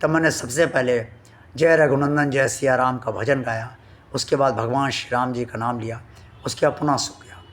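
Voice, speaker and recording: A man hosting a devotional channel, speaking to his viewers slowly and earnestly, his voice still weak from a sore throat. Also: medium pitch, steady tone. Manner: slow, earnest and devout, addressing viewers formally. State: recovering from a sore throat, voice not at full strength.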